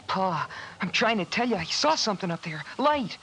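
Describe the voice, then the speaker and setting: A male actor playing an earnest, excitable boy in a 1950s post-apocalyptic radio drama. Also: high voice, normal speed, slight background noise, heavily acted. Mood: urgent, insistent. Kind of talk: 1950s radio drama acting, with first-person narration. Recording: vintage 1950s radio recording, music behind.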